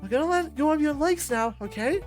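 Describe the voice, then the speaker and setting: Woman playing a cheeky, higher-pitched young male fighter. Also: expressive, a little fast, darkish tone, faint music behind. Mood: teasing, excited. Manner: teasing, encouraging.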